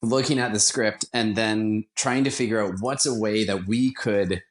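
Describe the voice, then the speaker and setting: A man's voice: fairly low pitch, fairly even intonation, normal speed. A man speaking as an interview guest, explaining his creative work as a radio theatre director in an enthusiastic, conversational way.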